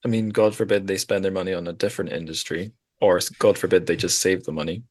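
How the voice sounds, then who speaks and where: Male podcast host, deep register, wry and discursive, casual discussion with some hesitant phrasing. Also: steady tone, quick pace, no room sound.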